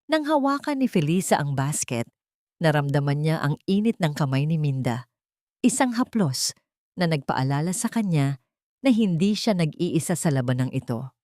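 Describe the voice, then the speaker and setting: A woman reading a dramatic scripted audio story in a solemn, deliberate way. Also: deep voice, big pitch swings, dark tone, no echo, clean studio sound. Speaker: story narrator.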